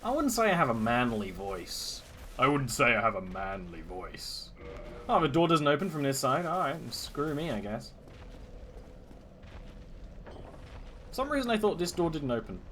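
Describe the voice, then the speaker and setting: A man's voice: medium pitch, sing-song, measured pace, pauses often, a bit bright, slight background noise. A young man streaming his first run of a game, chatting casually and joking at his own expense. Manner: playful, jokey, self-mocking. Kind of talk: casual gameplay commentary.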